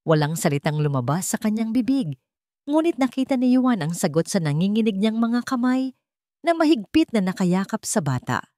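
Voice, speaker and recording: A woman with a Filipino accent narrating a scripted dramatic story in a measured, solemn way. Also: mid-range voice, very animated, dark tone, no echo, clean studio sound.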